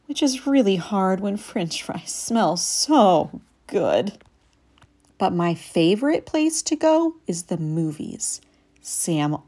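Storybook puppy hero-in-training read by a woman: eager, earnest, childlike, unhurried. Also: mid-range voice, mellow. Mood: cheerful, affectionate. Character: eager young male puppy training as service dog.

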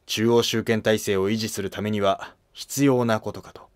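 A man's voice: lowish voice, steady tone, normal speed, dry recording, studio quality, natural voice. A man playing a deferential, earnest court minister in a scripted historical drama. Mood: respectful, explanatory.

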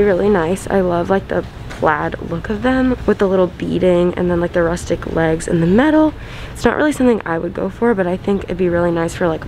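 A woman's voice: medium pitch, darkish tone, low-quality recording. A young woman from Florida, a home decor vlogger, chatting casually and gushing about her finds while filming in stores and later from a parked car. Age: young adult. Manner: bubbly, gushing, filler-heavy. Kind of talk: casual shopping vlog chat. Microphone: handheld camera.

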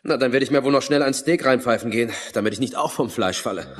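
A man's voice: medium pitch, fast, close to an everyday voice. Man as a sceptical investigator in reverberant room. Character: sceptical male investigator questioning his colleague. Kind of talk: German radio thriller acting. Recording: long hall-like reverb.

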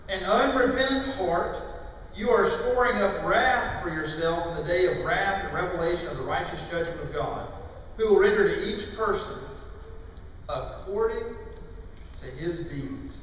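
A middle-aged man preaching a sermon in a church, with emphatic, deliberate phrasing broken by pauses. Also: high voice. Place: large church auditorium, indoors. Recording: distant, very echoey hall with faint noise.

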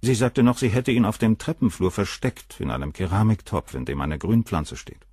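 A man's voice: fairly low pitch, normal speed, a little mellow, dry recording, studio quality, natural voice. A man playing a cold, cynical famous surgeon. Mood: cool. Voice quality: cool, cynical, dismissive. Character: cold arrogant famous male surgeon, middle-aged. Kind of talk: radio play acting.

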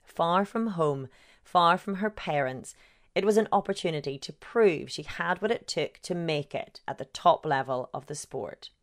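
Low-voiced woman reading a feature, measured. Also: lively intonation. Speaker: journalist reading her own feature. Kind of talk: scripted narration.

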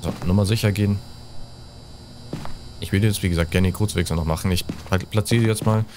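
A man's voice: low-pitched, steady tone, fairly quick, noisy background. A man in his thirties giving casual game commentary as a streamer, sprinkling in gamer slang and English phrases, close to a desk microphone. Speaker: let's play streamer. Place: indoors, seated close at a desk. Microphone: desk microphone at close range.

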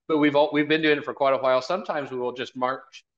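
A man's voice: mid-range voice, steady tone, quick pace. A man in his fifties speaking earnestly as a guest in a casual video-call interview, often repeating his phrases. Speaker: interview guest, college marketing director and event organizer. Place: small indoor office, video call. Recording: dull, lo-fi call audio.

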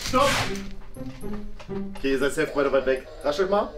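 Animated young adult, echoey and distant. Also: mid-range voice, normal speed. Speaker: challenge participant calling the rounds. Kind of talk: casual game chatter with short commands. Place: bright indoor studio room. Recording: distant mic in echoey room, loud music. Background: loud music.

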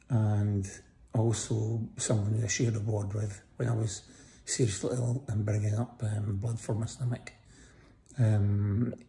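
A middle-aged man with a croaky voice, lying in a hospital bed and casually giving a personal update into a phone held close above him, tired and pausing often. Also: lowish voice, mellow, slight background noise. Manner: lying in bed, tired, talking slowly with many pauses. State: croaky voice after breathing tubes. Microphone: phone held close above face.

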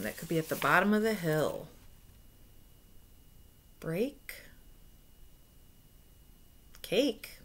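An American woman in her forties reading a children's picture book aloud expressively, with asides and questions to young listeners. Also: mid-range voice. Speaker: read-aloud storyteller. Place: small room at home.

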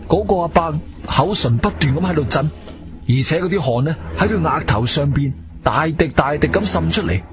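Radio drama lead: man as firm, shrewd Cantonese investigator-narrator, slightly high voice, very lively intonation. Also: normal speed, close to an everyday voice. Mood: gripping, suspenseful. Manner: expressive, emphatic storytelling. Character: male adventurer hero, shrewd, firm, questioning investigator. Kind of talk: dramatic first-person audio drama narration. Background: background music.

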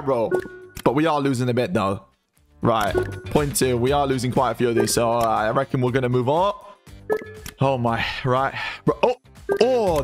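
A hoarse man commentating his online gambling in a casual, excitable way, calling his viewers guys and bro. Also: mid-range voice. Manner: excitable, shouts and laughs at big wins. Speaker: gambling streamer.

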